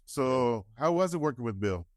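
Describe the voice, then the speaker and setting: A man's voice: mid-range voice, lively intonation, normal speed, dry recording, studio quality. A man interviewing in a casual, fan-like way, stumbling through rambling questions with false starts.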